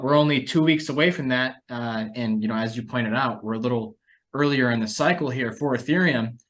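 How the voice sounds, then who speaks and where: A man's voice: mid-range voice, fairly bright tone. A man hosting a conversational discussion about financial markets, speaking as an analyst. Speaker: podcast host and market analyst.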